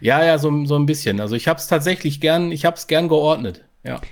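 A man's voice: fairly high pitch, brisk. A man co-hosting a podcast, explaining things in a casual, conversational style. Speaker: podcast co-host.

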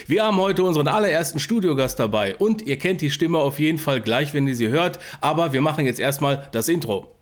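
Casual male podcast host, slightly high voice. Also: lively intonation, fairly quick, hardly any pauses. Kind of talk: casual chat, interviewing.

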